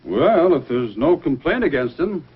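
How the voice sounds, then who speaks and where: A man's voice: a little high, big pitch swings, measured pace, breathy edge, heavily acted. A man playing a firm, fair Mountie sergeant in an old adventure series, speaking with clipped authority. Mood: calm, official. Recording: dull vintage broadcast recording, music behind.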